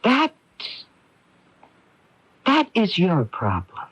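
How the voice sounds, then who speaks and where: An actress playing a bitter middle-aged woman who is coolly threatening, sardonic and deliberate, in a radio mystery drama. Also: mid-range voice, huge pitch swings, over music, exaggerated voice. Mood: cold menace. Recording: dull, lo-fi 1980s radio broadcast.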